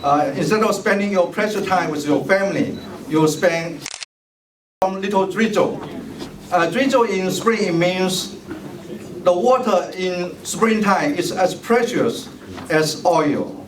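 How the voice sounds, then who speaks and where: A man's voice: sits high, a little slow. A middle-aged man whose first language is Chinese, giving a formal, ceremonial campaign speech and thanking guests at a tea party. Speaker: mayoral candidate giving a speech. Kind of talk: bilingual public speech, switching English and Chinese. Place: large hall at a tea party event. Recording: echoey hall, distant mic, noisy ambience.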